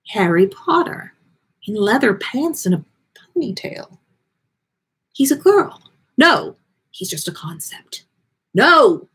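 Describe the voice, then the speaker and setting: A melodramatic fortune-teller as a woman reader puts her on, dreamy and easily flustered. Her slightly husky voice swoops up and down, halting and trailing off slowly, in storybook style. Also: a little high, full character voice.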